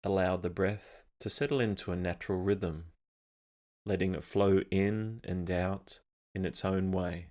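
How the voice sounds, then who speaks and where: A man leading a scripted guided meditation in a calm, measured, instructional manner. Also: sits low.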